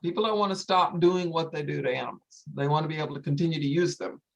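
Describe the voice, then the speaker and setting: A woman's voice: low-pitched, quick pace, gravelly, muffled recording. An older woman speaking earnestly and informally to an audience, stressing her points by repeating phrases. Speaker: wildlife sanctuary founder hosting a story time.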